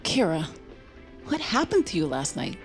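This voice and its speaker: A woman's voice: lowish voice, sing-song, normal speed, soft background music. A woman playing a concerned, persistent mother in an audio drama. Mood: concerned, coaxing.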